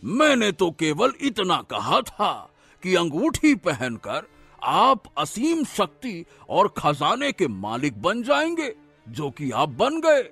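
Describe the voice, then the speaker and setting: A man's voice: high voice, wildly swooping pitch, slow, fairly bright tone, slightly rough, no echo, soft background music, heavily acted. A man voicing a sly evil cartoon sorcerer who serves a king, with a wheedling, insinuating, pleading delivery. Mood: sly excuses. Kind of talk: animated Hindi moral-story acting.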